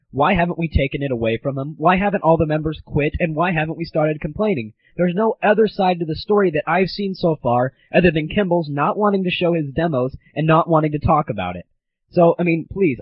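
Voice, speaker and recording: A man hosting a gaming audio show, delivering a belligerent, sarcastic, profane comedic rant in a husky voice. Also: fairly high pitch, a little hiss or hum. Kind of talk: ranting comedic monologue. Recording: dull lo-fi 1990s amateur recording.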